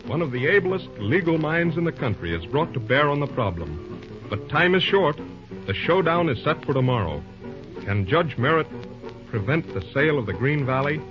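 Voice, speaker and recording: A man's voice: fairly high pitch, big pitch swings, moderate pace. A man announcing the close of an old radio drama in a suspenseful, emphatic style. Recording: dull lo-fi old radio recording with music.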